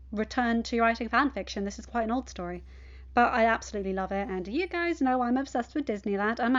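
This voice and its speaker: Woman podfic reader, higher voice, casual host chat n storytelling, kinda dull lo-fi home audio. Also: a bit bright. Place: a room at home.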